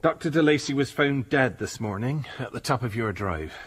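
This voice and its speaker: An actor playing a deferential, stolid policeman who speaks in a husky, matter-of-fact way in a radio drama. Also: mid-range voice, expressive, moderate pace. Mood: grave, matter-of-fact. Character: deferential English policeman bearing grim news, stolid.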